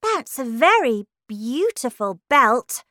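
A woman's voice: high-pitched, sing-song, slow pace, a bit bright, no echo, studio quality, pitched above the performer's own voice, full character voice. A woman voicing a polite, curious young girl in a storybook, with an earnest, questioning manner.

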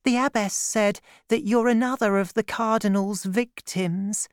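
A woman reading aloud and voicing a gentle, trusting young woman who talks in an eager, breathless way. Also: mid-range voice, fairly even intonation, measured pace, no room sound, studio quality, voice pushed up, close to an everyday voice. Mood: earnest.

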